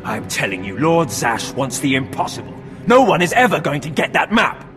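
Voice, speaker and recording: Petulant young acolyte, animated and echoing. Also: male voice, fairly high pitch, normal speed. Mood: defensive. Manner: emphatic, indignant, petulant. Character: arrogant, whiny young male Sith acolyte, easily panicked. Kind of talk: video game voice acting. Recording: long reverb, loud background music.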